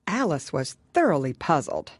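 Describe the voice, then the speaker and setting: A woman reading a children's story aloud as an audiobook narrator, in an expressive storytelling style. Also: low voice, moderate pace, breathy edge, dry recording, studio quality.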